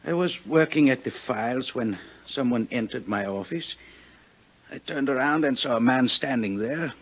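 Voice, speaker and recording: A defensive French-accented airport agent in a vintage radio drama. He is wary and terse, his husky voice moving up and down a lot. The recording is muffled. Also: medium pitch, expressive, normal speed. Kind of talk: 1950s radio acting.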